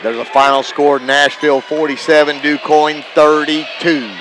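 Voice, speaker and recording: A man's voice: on the high side, flat delivery, moderate pace. A man giving color commentary on a live basketball radio broadcast from a gym. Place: packed high school gymnasium. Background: gym noise, bouncing basketballs.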